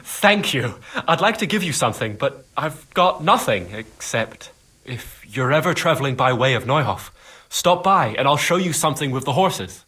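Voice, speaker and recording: Casting: modest stable boy for a game, sharp-edged rough voice, dry close sound. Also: medium pitch, lively intonation, moderate pace, no room sound. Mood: grateful. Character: humble grateful young stable lad, a bit jittery. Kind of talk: video game cutscene acting.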